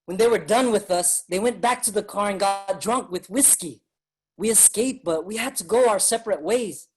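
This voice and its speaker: An actor playing an earnest Filipino migrant writer and activist, narrating dramatically in a staged reading. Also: male voice, high voice, normal speed, darkish tone, breathy edge, faint music behind. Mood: reflective, grave.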